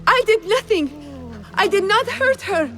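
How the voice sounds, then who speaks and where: A woman's voice: extremely high pitch, expressive, measured pace, a bit bright, faint music behind, heavily acted. An actress playing a frightened young servant woman in a game cutscene, panicked and pleading her innocence. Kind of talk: video game cutscene acting.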